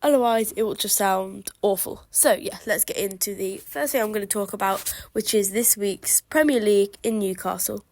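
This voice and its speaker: A woman's voice: medium pitch, moderate pace, hardly any pauses, a little mellow, no room sound. A woman hosting a solo podcast outdoors, chatting eagerly through a cold that leaves her bunged up. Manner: chatty, enthusiastic darts fan. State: congested from a cold. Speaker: podcast host.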